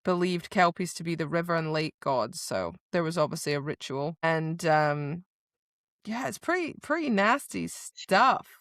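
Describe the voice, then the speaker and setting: A woman's voice: fairly low pitch, expressive, measured pace, a bit bright, no room sound, clean studio sound. A woman co-hosting a podcast, talking casually about a book in an opinionated, emphatic, slangy way. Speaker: podcast co-host. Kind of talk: casual book discussion chat.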